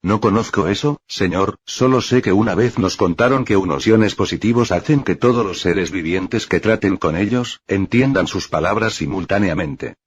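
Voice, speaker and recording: A man's voice: sits low, normal speed, few pauses, husky, dry recording. Humble rural guide, read by a man. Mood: earnest. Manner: plain, polite answers. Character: humble male rural guide, plain and respectful. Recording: dull lo-fi dry recording.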